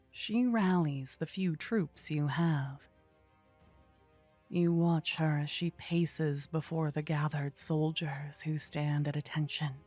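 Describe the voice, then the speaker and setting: A woman's voice: deep voice, a little mellow. A woman narrating a scripted fantasy story in an ASMR style.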